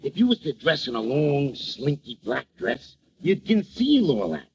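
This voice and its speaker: An actor playing a brash, slangy, wisecracking manager sidekick in a 1940s radio comedy. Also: male voice, mid-range voice, very animated, measured pace, a little mellow. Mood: emphatic coaxing. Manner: slangy, uneducated, emphatic wisecracking. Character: brash, streetwise male manager and sidekick, advising his boss. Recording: muffled old radio recording with hiss.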